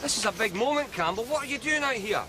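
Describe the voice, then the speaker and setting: A man talks very quickly with lively, swooping pitch. He is a concerned, teasing friend in a drama scene, with clear insect-filled ambience behind him. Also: noisy background. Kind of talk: naturalistic drama dialogue.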